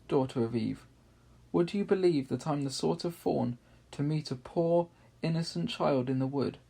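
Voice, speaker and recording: A man voicing a gentle storybook fawn who is guilt-ridden and tearful, wailing and blaming himself. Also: a little high, vocal fry, pitched above the performer's own voice. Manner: tearful, wailing, self-reproaching.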